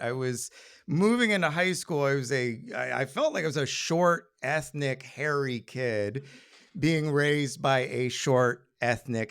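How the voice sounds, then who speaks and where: A man telling a personal story live on stage in a conversational, halting, self-deprecating way. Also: medium pitch, fairly bright tone, clean tone.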